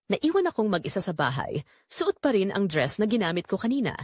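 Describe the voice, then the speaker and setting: A woman narrating as a guilt-ridden, secretly longing mother in a scripted audio drama, with a measured, confessional delivery. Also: mid-range voice, very animated, breathy edge, no room sound, studio quality. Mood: longing, resolved.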